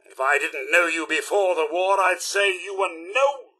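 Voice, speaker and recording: High-pitched, prim British robot butler. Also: male voice, a little slow, bright, forceful tone, husky, full character voice. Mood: earnest, disappointed. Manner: formal, theatrical, fussy and reproachful. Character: prim, loyal, disapproving male robot butler. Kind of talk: scripted game companion dialogue.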